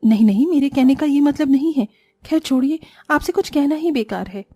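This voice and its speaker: A woman's voice: sits high, animated, a little fast, warm tone, no room sound, soft background music. A woman voicing an overworked, long-suffering young housewife in a cartoon moral story, plaintive and dutiful. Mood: defensive.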